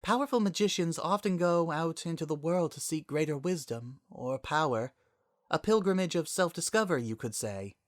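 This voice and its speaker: A man voicing a regal female pony princess who speaks slowly and carefully in a dramatic story reading. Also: a little high, expressive, voice pushed up, lightly acted. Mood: grave, careful. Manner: slow, measured, careful.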